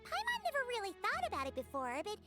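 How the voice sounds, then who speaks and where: A woman voicing a tiny, excitable floating fairy companion in a video game, with a squeaky, bubbly voice and game music behind. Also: quick pace, heavily acted. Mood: chirpy. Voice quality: squeaky, bubbly, speaks of herself by name.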